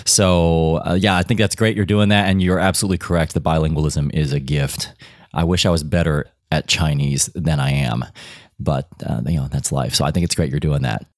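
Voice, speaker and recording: A man hosting an interview, asking questions in a measured, polite and affirming way. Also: extremely low pitch, fairly even intonation, dry recording, clean studio sound. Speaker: podcast host, interviewer.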